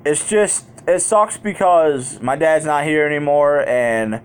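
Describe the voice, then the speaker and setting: A man's voice: a little high, slow pace, smooth. A man vlogging in a candid, colloquial way, rambling through personal stories as he talks straight to his viewers. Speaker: YouTube vlogger. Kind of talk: casual personal storytelling to viewers.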